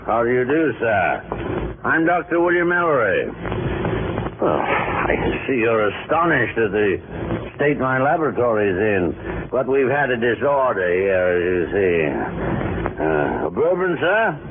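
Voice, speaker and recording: A man's voice: medium pitch, sing-song, measured pace. A man playing a seedy sanitarium doctor, genteel, hesitant and evasive, in 1940s radio drama style. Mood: flustered courtesy. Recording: noisy, narrow-band 1940s radio recording.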